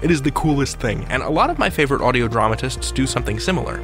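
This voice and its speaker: A man's voice: medium pitch, expressive, quick pace, music in the background. An American man hosting a podcast countdown with playful, theatrical scripted narration. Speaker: podcast host.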